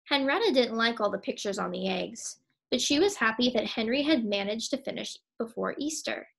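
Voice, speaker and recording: High-voiced young woman reading a storybook. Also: animated, normal speed, creaky, a bit bright, husky. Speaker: teacher reading aloud. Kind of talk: storybook read-aloud.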